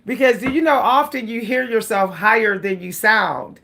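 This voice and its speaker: A woman's voice: fairly high pitch, moderate pace, gravelly, a bit bright. A woman hosting a casual livestream, chatting with viewers, laughing often and breaking into song. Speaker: livestream host. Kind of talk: casual livestream chat with singing.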